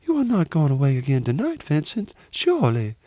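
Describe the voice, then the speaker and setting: Man as a high-pitched genteel, anxious mother. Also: big pitch swings, normal speed, darkish tone, no echo. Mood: anxious, curious. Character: genteel, anxious, proud middle-aged Southern mother.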